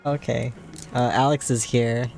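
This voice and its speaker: A man live-streaming a game and chatting casually with his viewers. His voice sits at a middle pitch and has gone hoarse and scratchy. He keeps breaking into small laughs, and soft music plays faintly behind him. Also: little pitch movement, measured pace. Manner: giggly, laughs often between sentences. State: hoarse, voice giving out.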